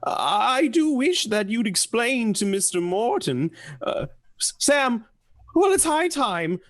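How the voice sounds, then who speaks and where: A woman voicing a bewildered, gentle old lady who stammers in a radio play. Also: a little high, sing-song, slow pace, no room sound. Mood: confused. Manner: faltering, stammering.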